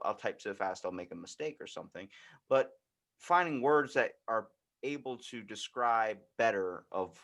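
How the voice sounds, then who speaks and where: A man's voice: fairly low pitch, a bit bright. A man hosting a podcast, talking in a casual, informal and rambling way. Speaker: podcast host. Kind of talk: casual chat.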